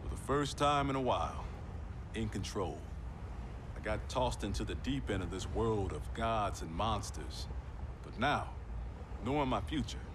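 Low-voiced tough American soldier. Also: male voice, animated, measured pace, background noise. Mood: hopeful. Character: tough, sincere young male soldier with cybernetic arms. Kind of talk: video game cutscene acting.

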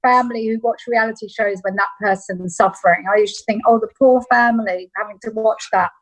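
A woman's voice: on the high side, fairly even intonation, fairly quick, low-quality recording. A woman, chatting casually as a guest on a video call. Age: 50s. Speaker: guest, interviewed with husband. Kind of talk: casual chat. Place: room at home, video call. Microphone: video call device mic, shared with partner.

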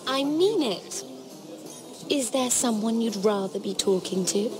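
A woman's voice: on the high side, animated, a little slow, over music. A woman playing a guarded young noblewoman in a dramatic video game, playful but easily wary. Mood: annoyed.

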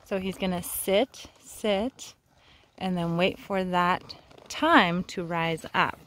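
A woman coaching a horse rider in an outdoor arena, upbeat and teasing, quick to praise. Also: lowish voice, fairly bright tone. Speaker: riding instructor.

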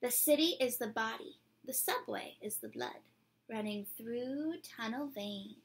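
A woman in her twenties reading a picture book aloud to children, with playful, deliberate, dramatic phrasing, recorded at home in a small room. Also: fairly high pitch, expressive, husky. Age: 20s. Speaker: children's read-aloud presenter.

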